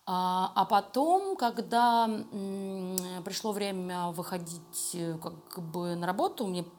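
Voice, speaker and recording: A woman's voice: mid-range voice, takes it slowly, darkish tone. A middle-aged woman answering interview questions conversationally as a guest, candid and self-deprecating. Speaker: interview guest, animation studio creative director.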